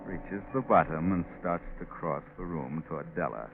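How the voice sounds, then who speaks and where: An actor narrating a suspenseful 1940s radio mystery in scripted storytelling style. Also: male voice, low-pitched, normal speed, gravelly. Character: ominous male storyteller of a mystery. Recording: narrow-band old radio recording. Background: dramatic music.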